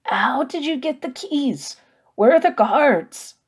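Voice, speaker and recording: Lady doing a young boy, way higher pitch, slow n anxious, keeps pushing with questions. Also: expressive, husky, heavily acted. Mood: anxious, curious. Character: naive, chattering, persistent boy, eager and anxious.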